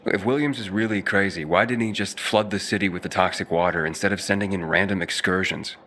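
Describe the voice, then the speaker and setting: A man's voice: low voice, steady tone, brisk, pushed and bright, a little hiss or hum. An actor playing a young male survivor who asks dry, blunt questions. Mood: wry.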